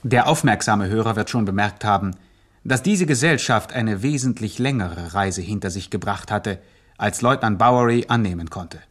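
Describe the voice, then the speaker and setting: An actor as the urbane, wry narrator of a satirical radio play, reading scripted narration. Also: male voice, on the low side, normal speed, close to an everyday voice.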